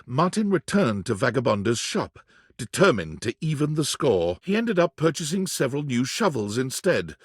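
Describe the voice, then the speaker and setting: A man playing a deadpan storybook narrator in a comic romance game, reading scripted narration in a measured way. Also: a little high, breathy edge, no room sound, clean studio sound.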